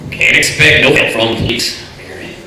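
An actor playing an excitable, streetwise lame beggar in a live comic radio play. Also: male voice, mid-range voice, normal speed, gravelly, faint music behind, exaggerated voice. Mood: resigned, curious. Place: hotel convention room, recorded from audience. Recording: echoey hall, distant camera mic, audience.

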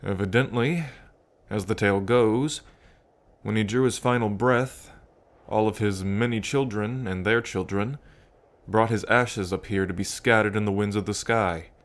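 A man playing a fond, reflective dragon king in an intimate ASMR roleplay, musing aloud to the listener with pauses. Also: on the low side, natural voice. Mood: reverent, reflective. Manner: unhurried, musing, pausing, softly chuckling between thoughts. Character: adult male dragon king, fond, reflective, proud of ancestors.

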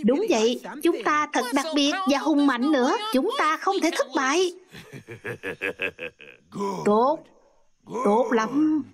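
A woman dubbing every character in a cartoon herself, reading the lines in quick, lively turns. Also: high-pitched, a loud crowd. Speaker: dubbing narrator voicing all cartoon characters. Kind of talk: single-voice cartoon dub. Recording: dub over loud music.

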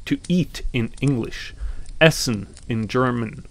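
Slow, gravelly-voiced Norwegian man. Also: medium pitch, low-quality recording. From Norway. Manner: slow and deliberate, with pauses and some fillers. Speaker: podcast host. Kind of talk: reflective commentary on mythology.

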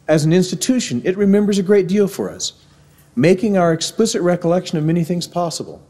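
A middle-aged man with a mellow, warm Missouri accent delivers a formal devotional address in a measured, earnest way. He speaks into a podium microphone in a large university auditorium. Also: a little high.

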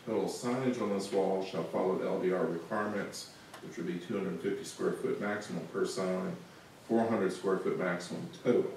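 A male planning staff member with a Southern US accent, reading out conditions in a measured, methodical way at a public meeting. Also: low voice, steady tone, creaky, a little mellow. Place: large council meeting room. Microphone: room recording from far camera. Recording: echoey room, distant mic, faint noise.

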